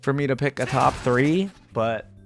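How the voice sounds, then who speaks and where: A man's voice: medium pitch, measured pace, gravelly, over music. A man giving casual reaction commentary in an informal, slangy gamer way. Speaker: reaction video host.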